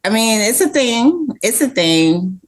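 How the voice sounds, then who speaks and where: A woman hosting a podcast, relaxed and chatty, quick to laugh. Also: on the high side. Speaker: podcast host. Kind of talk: casual podcast conversation.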